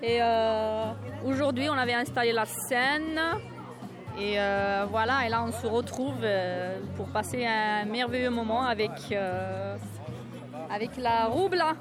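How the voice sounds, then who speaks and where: A woman's voice: on the high side, a little slow. A woman from Kabylie whose first language is Berber, answering interview questions with many hesitations at an outdoor neighbourhood party. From Kabylie, Algeria. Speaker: resident and event volunteer. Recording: outdoor party with music and crowd.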